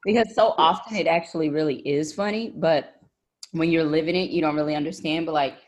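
Deep-voiced woman host, chatty. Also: fairly even intonation, brisk. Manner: chatty, laughing. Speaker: podcast host. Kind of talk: casual chat.

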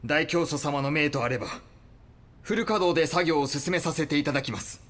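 An actor playing a devoted cult lieutenant in an audio drama, formal, obedient and zealous. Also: male voice, on the high side, a little fast, fairly bright tone, natural voice. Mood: reverent. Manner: formal, deferential, reporting. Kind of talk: Japanese audio drama acting.

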